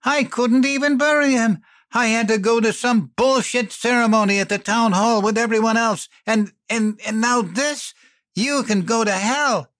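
A man doing an enraged widowed mother, high shrieking voice, raw bitter yelling, dry close sound. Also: normal speed, dry recording. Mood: furious. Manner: screaming, bitter, hurling insults.

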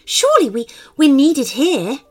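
A woman's voice: sits high, wildly swooping pitch, measured pace, a little mellow, voice pushed up, full character voice. A woman voicing an earnest, helpful female fox in a children's story. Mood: worried.

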